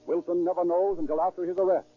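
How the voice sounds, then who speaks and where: A man's voice: high-pitched, little pitch movement, quick pace. A man narrating a true crime radio drama in a dramatic 1930s broadcast style. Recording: dull, muffled 1930s radio recording.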